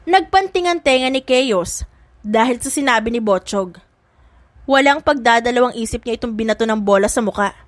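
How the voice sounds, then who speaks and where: A woman's voice: fairly high pitch, animated, moderate pace, no room sound. A woman reading a scripted romance drama as an audiobook narrator.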